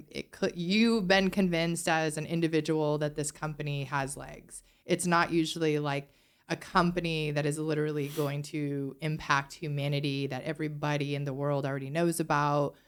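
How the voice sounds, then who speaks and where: A woman hosting a podcast interview, chatty and curious, jumping in with quick questions. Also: deep voice, steady tone, clean tone. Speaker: podcast host. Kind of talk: casual interview chat.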